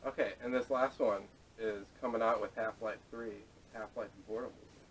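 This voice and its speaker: A man's voice: on the low side, fairly even intonation, normal speed, a little hiss or hum. A man hosting a podcast in casual, bantering conversation, picked up by a camera microphone. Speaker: podcast host. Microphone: camera audio from a distance. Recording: distant camera microphone, poor audio.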